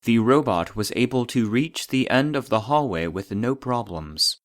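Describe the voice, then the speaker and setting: An actor playing the formal, detached voice of a secret archive database, narrating a scripted report in an audio drama. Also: male voice, medium pitch, animated, moderate pace, lightly acted. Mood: clinical, detached.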